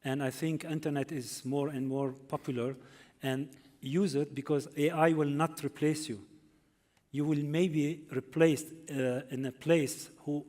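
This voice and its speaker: A hotel development executive on a panel, earnest and halting, often restarting his sentences. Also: fairly high pitch, a little mellow. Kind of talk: panel answer. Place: conference hall.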